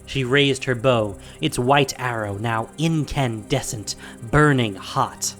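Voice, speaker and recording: A man's voice: medium pitch, takes it slowly, a bit bright, faint music behind. A man narrating a scripted fantasy audio drama in a dramatic storytelling style.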